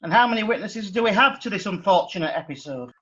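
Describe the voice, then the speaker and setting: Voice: medium pitch, lively intonation, fast, bright, forceful tone, lo-fi sound. An actor playing a wry, impatient magistrate. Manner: wry, exasperated, colloquial. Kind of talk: radio drama acting.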